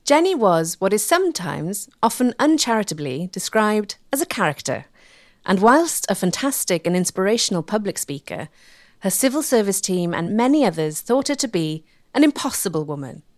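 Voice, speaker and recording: A woman narrates a documentary from a script. Her pitch moves around expressively, and the sound is dry and close. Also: sing-song, moderate pace, dry recording.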